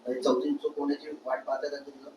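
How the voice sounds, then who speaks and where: A man's voice: mid-range voice, flat delivery, very fast, darkish tone, highs cut off. A man working as a news reporter, putting questions at a press gathering. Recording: roomy, noisy press gathering.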